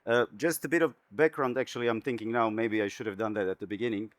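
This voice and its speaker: Man, low voice, dry sound, thoughtful, conversational. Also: quick pace, no echo. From Croatia. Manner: relaxed, thoughtful, many backchannels. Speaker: curator and interviewer. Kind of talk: conversational art talk.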